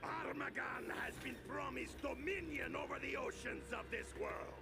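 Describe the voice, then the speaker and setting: Armaggon, gravelly shark warlord. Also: male voice, very high-pitched, moderate pace, noisy background, exaggerated voice. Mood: threatening. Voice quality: gravelly, theatrical menace. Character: boastful male mutant shark warlord, scheming. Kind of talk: video game cutscene acting.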